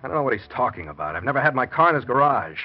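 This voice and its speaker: An actor playing a testy, defensive husband with a guilty secret in an old-time radio thriller. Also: male voice, mid-range voice, big pitch swings, rapid-fire. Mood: suspicious. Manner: curt, defensive, suspicious. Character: guilty, testy husband hiding a deadly scheme. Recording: dull vintage radio recording.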